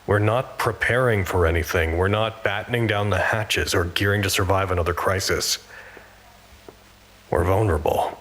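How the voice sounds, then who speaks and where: An actor playing an anxious, weary researcher who narrates a log in a measured, wry way for an audio drama. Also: male voice, sits low, a bit bright. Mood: weary, uneasy.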